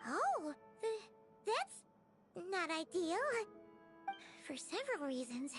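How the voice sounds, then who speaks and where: A woman voicing a tiny, eager, childlike fairy companion in a video game, excitable and squeaky. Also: slow, music in the background, heavily acted. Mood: eager, flustered.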